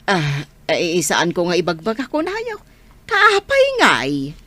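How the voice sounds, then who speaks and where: Lively, suspicious aunt. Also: female voice, fairly low pitch, measured pace, no echo, heavily acted. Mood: surprised, relenting. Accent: Ilocano. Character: suspicious female relative guarding her niece's land. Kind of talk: Ilocano radio drama acting.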